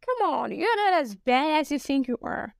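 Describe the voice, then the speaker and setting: A Nigerian woman with a Nigerian accent, a business English coach talking alone on her podcast, spelling out words slowly. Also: high voice, big pitch swings, gravelly, no echo, studio quality. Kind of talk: solo podcast monologue.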